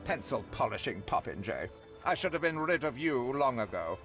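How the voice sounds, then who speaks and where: An actor playing a pompous, spiteful old tyrant of an uncle in a video game, blustering and scornful. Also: male voice, medium pitch, big pitch swings, moderate pace, fairly bright tone. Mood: scornful, bitter. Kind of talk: video game cutscene acting. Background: music.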